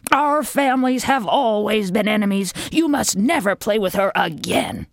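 A woman's voice: medium pitch, expressive, normal speed, creaky, dry recording, clean studio sound. A woman voicing a stern, protective mother frog who scolds emphatically. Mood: scolding.

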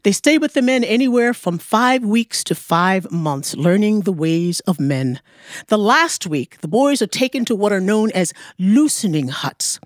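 A woman telling a folktale aloud as a storyteller, in measured, deliberate phrases. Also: medium pitch, lively intonation, no echo, clean studio sound. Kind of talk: live oral storytelling of a folktale. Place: performance studio before an audience.

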